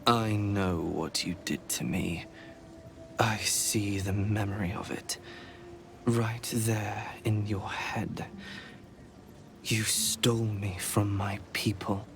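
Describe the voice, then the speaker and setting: An actor voicing a menacing young alien boy in a video game, zealous and wounded, speaking in a cold, moralizing, deliberate way. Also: lowish voice, fairly even intonation, over music. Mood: accusing, menacing.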